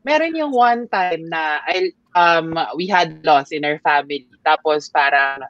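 A woman's voice: low voice, measured pace, fairly bright tone, dry recording. A woman with a Filipino accent, speaking reflectively and hesitantly on a video call panel. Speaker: panel guest, social media creator. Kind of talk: casual panel discussion. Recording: dull dry lo-fi video call audio.

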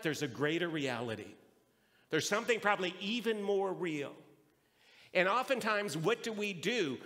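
A man delivers a sermon in a voice pitched a little above average. He speaks as a pastor teaching his congregation, explaining ideas and asking rhetorical questions. The sound carries the long reverb of a hall. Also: a bit bright. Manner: explanatory, asks rhetorical questions and answers them. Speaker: pastor preaching. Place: large reverberant room, live preaching.

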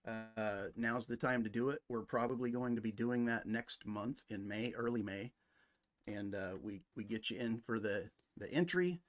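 A middle-aged man chatting casually as the host of a livestream. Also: medium pitch. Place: indoor room with decorated wall.

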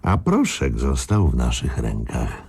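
A proud, scheming gang leader in a radio crime drama. His voice is deep and raspy with plenty of fry. He gives orders and lays out plans with animated, swinging intonation. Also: unhurried, heavily acted. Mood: smug, gleeful. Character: male gang leader, commanding, sly, proud planner.

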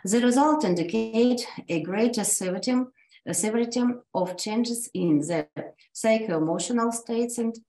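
A woman from Russia, a native Russian speaker with a Russian accent, reading a medical conference talk in a halting way with frequent restarts. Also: a little high, dark tone, roomy sound, low-quality recording. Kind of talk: reading an academic presentation.

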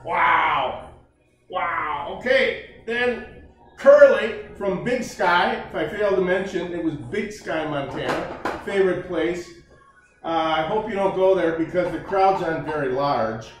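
Slow-talking folksy man in an echoey kitchen. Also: high-pitched, animated, far from the mic, slight background noise. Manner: folksy, jokey, chatty while cooking. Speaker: home cooking show host. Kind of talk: casual cooking demonstration. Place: large home kitchen indoors. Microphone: camera mic at a distance.

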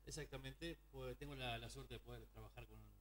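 A man's voice: mid-range voice, steady tone, fairly quick, fairly bright tone, no echo. A man from Uruguay, a mariachi singer, answering a TV host's questions in a polite and formal way. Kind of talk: TV interview chat. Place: TV studio. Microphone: handheld mic.

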